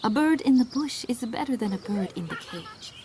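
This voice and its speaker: An actress plays a young village cousin reading a storybook aloud to children in an audio drama. Her voice is high and soft-edged, briskly delivered over faint ambient birdsong. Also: animated, slight background noise, lightly acted. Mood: storytelling.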